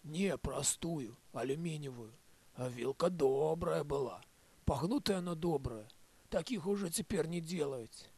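A man's voice: on the high side, expressive, unhurried, darkish tone, breathy edge, exaggerated voice. A man voicing a querulous old grandmother who grumbles over petty things in an audio play. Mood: grumbling.

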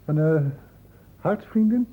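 A man playing a shrewd senior doctor in a Dutch radio play, brisk and probing, with a condescendingly paternal authority. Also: high-pitched, expressive, full character voice. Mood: probing, fatherly. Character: shrewd senior male doctor questioning a student, brisk, fatherly. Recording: thin phone-like old radio recording, hissy.

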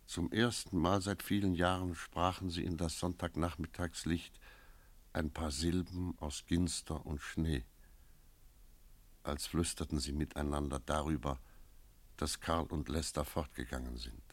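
An actor playing a brooding village innkeeper, narrating his memories in a measured, lyrical, solemn manner for a radio play. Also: very deep voice, fairly even intonation.

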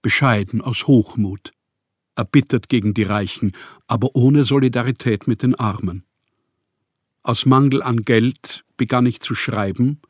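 An actor playing a proud, bitter, needy young writer in a German radio play, speaking in measured, formal literary phrases. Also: male voice, mid-range voice, no room sound, clean studio sound, natural voice. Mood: bitter, wry.

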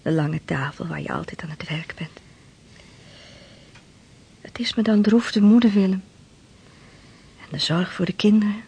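A woman acting in a historical radio play as a resigned, sorrowful seafarer's wife, plaintive and tender in a hushed voice. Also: mid-range voice, measured pace, creaky, pauses often, breathy edge, exaggerated voice. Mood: sad, resigned.

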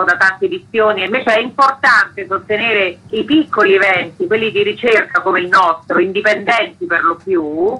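A woman's voice: mid-range voice, bright, forceful tone, breathy edge, low-quality recording. A woman who directs a small theatre festival, giving an earnest, rambling appeal for support in a radio interview over the phone. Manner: earnest, persuasive, rambling pleas.

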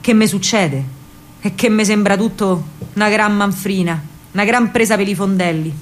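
An actress playing a spirited, indignant working-class girl with a Roman accent, telling her memories in an expressive, colloquial theatrical monologue. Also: mid-range voice, moderate pace, natural voice. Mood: indignant, questioning. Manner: colloquial, emphatic, expressive storytelling. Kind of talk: theatrical first-person monologue in dialect.

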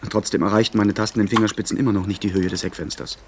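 An actor playing a young gentleman who recounts his sea adventure in measured, literary radio drama narration. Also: fairly low pitch, little pitch movement, close to an everyday voice. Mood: absorbed, suspenseful. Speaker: narrator and lead character. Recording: 1970s radio play, faint background noise.

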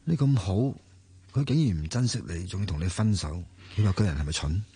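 A man's voice: low-pitched, very animated, fairly quick, dark tone, husky, exaggerated voice. An actor playing an earnest young man in love in a Cantonese radio drama, insistent and sincere, with a Hong Kong accent. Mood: earnest, pleading.

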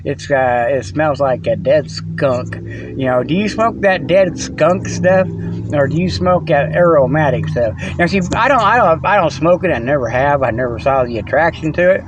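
A man's voice: on the high side, expressive, normal speed, lo-fi sound, noisy background. A man hosting a rambling comic monologue from inside his truck, folksy and full of "okay" and "you know.". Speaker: host.

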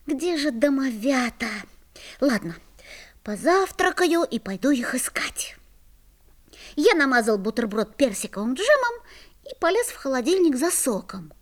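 A woman playing a lively, curious schoolboy who narrates a children's audio play in an expressive, boyish way. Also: high-pitched, a little slow, exaggerated voice. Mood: lively, wondering.

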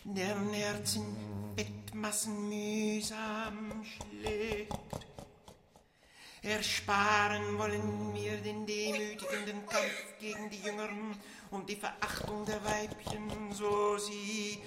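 A woman's voice: medium pitch, monotone, slow pace, music in the background. An actress playing a solemn eulogist in a radio play, half singing and chanting her ceremonial recitation. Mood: solemn, exalted. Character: solemn priestess-like eulogist of a heroic pig. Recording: echoey reverberant hall, clearly noisy.

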